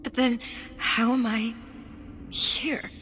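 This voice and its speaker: High-pitched, distraught young woman. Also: level tone, very noisy. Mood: bewildered, frightened. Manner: halting, intense. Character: distraught, determined young woman, haunted by guilt. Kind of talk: dramatic video game acting. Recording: loud music over the dialogue.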